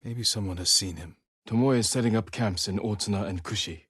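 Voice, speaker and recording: A husky, fairly low male voice as a stoic samurai in a dramatic video game. He speaks in terse, measured phrases with grave determination. The sound is close and dry. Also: fairly bright tone, no echo. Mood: grave, focused. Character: stoic, determined samurai warrior, adult man.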